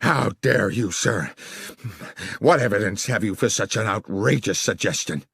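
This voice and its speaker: A man voicing a wealthy scheming businessman in an audiobook, with a halting, theatrical manner full of wounded dignity. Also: on the low side, expressive, gravelly, fairly bright tone, slightly rough, no echo, clean studio sound, full character voice. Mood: indignant. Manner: halting, theatrical, self-pitying or indignant.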